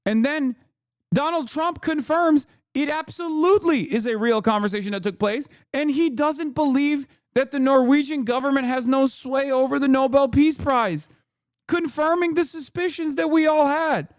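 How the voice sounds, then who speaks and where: A man hosting a stream, giving casual reaction commentary in an animated, sarcastic way and swearing often. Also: very high voice, normal speed, no echo, studio quality. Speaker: streamer host.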